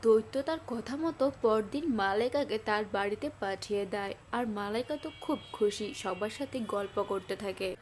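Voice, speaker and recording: A woman's voice: mid-range voice, normal speed, few pauses, slight background noise. A woman reading scripted fairy tale narration as the storyteller.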